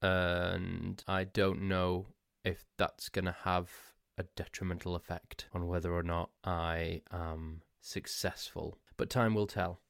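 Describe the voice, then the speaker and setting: A man's voice: low voice, flat delivery, slow pace, vocal fry, hardly any pauses, clean tone, no room sound, clean studio sound. A man hosting a solo podcast, stuffy and losing his voice, rambling and self-mocking with frequent laughs. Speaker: podcast host.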